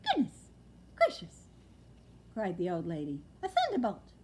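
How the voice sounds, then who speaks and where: A woman's voice: medium pitch, very animated, normal speed, warm tone, a little hiss or hum, natural voice. A woman reading aloud and voicing a prim, fussy old lady, genteel and given to exclaiming. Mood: startled. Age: older. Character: old lady in a deck chair, fluttery and genteel.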